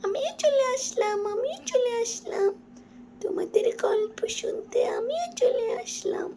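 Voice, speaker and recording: A woman voicing a small, eager talking bird in a cartoon, with a high, thin voice. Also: expressive, unhurried, a little mellow, a little hiss or hum.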